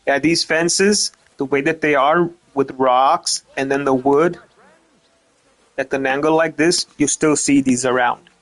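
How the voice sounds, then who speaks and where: A streamer talks through his gameplay in a flat, even voice. He rambles, restarts his sentences over and over, and swears without a second thought. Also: medium pitch, a little slow. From Romania. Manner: rambling, stumbles over repeated words, swears casually. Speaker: gaming streamer. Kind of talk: casual gameplay commentary.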